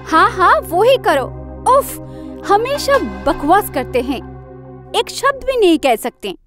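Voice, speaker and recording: A woman voicing animated female characters in a Hindi cartoon story. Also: extremely high pitch, slow, soft background music, exaggerated voice. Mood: bossy, irritated.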